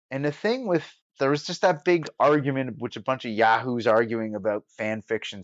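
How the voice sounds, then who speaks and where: Male radio producer as podcast guest: mid-pitched, conversational, emphatic explainer, dry but slightly muffled recording. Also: no echo. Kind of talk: casual interview talk.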